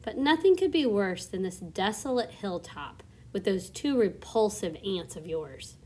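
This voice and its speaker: A woman doing a gentle kindly ladybug. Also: medium pitch, animated, measured pace, husky. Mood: kind, reassuring.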